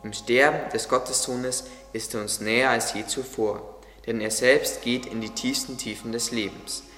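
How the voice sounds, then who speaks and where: A man's voice: mid-range voice, steady tone, normal speed, bright, forceful tone, lightly acted. A man playing a devout, solemn gardener who preaches fondly, in a religious radio play. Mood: consoling, reverent. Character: devout, solemn male gardener, fond of his tree. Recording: echoey long reverb, music behind.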